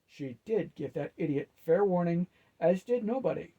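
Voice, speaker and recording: A man voicing the commanding boss of the undead, with loud, authoritative, deliberate pronouncements. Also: high-pitched, expressive, lo-fi sound, pitched above the performer's own voice. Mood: stern, authoritative.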